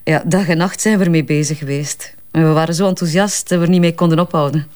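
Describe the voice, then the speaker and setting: A woman acts out a self-assured Flemish advertising strategist in a crime drama. She answers police questions briskly and candidly, with small hesitations. When pressed she turns defensive, but the delivery stays natural. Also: low voice, fairly even intonation, a little mellow, close to an everyday voice. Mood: explaining, confident.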